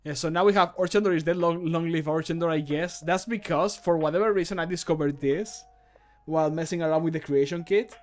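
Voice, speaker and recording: A man's voice: on the high side, fairly even intonation, fairly quick. A man streamer chatting casually to viewers, muttering to himself in a self-deprecating, sweary way. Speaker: streamer recording voice lines for a game mod. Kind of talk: casual livestream chat to viewers. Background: music playing behind the voice.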